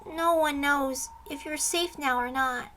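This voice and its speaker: A woman voicing a shy, guarded young girl survivor with a soft, quiet, bleak delivery. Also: high-pitched, measured pace, slight background noise. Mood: guarded, subdued.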